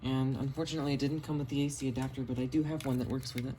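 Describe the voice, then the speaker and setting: A man's voice: mid-range voice, fairly even intonation, rapid-fire, warm tone. A man casually showing and explaining his radio finds in a matter-of-fact way, recorded on a cell phone in a basement. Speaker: radio collector presenting finds. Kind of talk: casual show-and-tell vlog. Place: home basement. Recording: audible background noise, phone recording.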